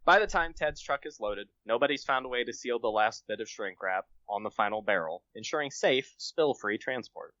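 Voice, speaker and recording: A man's voice: mid-range voice, expressive, normal speed, hardly any pauses, bright, forceful tone, dry recording, highs cut off. A man on a podcast reading his own comic short story aloud. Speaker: podcast guest, amateur writer.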